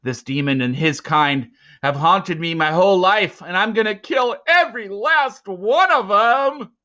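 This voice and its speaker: A man's voice: sits high, lively intonation, a little slow, sharp tone, highs cut off, pitched above the performer's own voice, heavily acted. A man voicing a big, furious, bitter hunter in a storybook, sneering and spitting out his words. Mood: vengeful. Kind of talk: storybook character acting.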